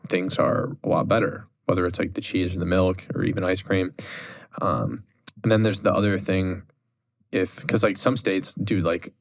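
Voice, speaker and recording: A young man talking casually as a podcast guest on holistic health, relaxed and full of fillers like "like" and "dude.". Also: deep voice, normal speed, gravelly. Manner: relaxed, filler-heavy, says "dude" and "like" often. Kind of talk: casual chat.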